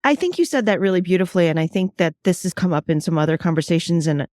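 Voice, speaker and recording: A woman's voice: on the low side, lively intonation, quick pace, a little mellow, no echo, studio quality. A woman hosting a podcast, asking questions and listening attentively with frequent short affirmations. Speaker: podcast host. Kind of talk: interview questions.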